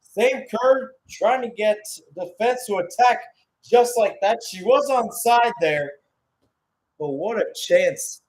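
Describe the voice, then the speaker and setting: A man giving live radio-style football play-by-play, halting and correcting himself in places, then surging with the action. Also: high-pitched, darkish tone, slight background noise, rough recording.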